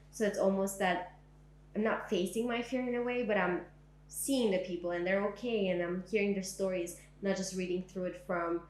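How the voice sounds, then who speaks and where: A woman's voice: on the low side, echoey room, slight background noise, rough recording. A young woman from Syria in a living room, recalling her past in an oral history interview, speaking reflectively with many "like"s and "um"s. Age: young adult. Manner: reflective, frequent "like" and "um".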